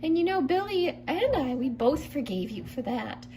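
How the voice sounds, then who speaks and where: A woman voicing a calm, sensible puppet friend in a children's skit, speaking gently and patiently as a counsellor. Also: high-pitched, moderate pace, a little hiss or hum. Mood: gently reasoning.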